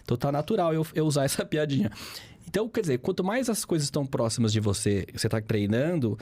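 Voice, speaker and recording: A man's voice: fairly high pitch, expressive, fast talker, mellow, dry recording. A man aged fifty with a Brazilian accent, a guest teacher explaining things conversationally with examples and repetition. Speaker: guest, public speaking teacher.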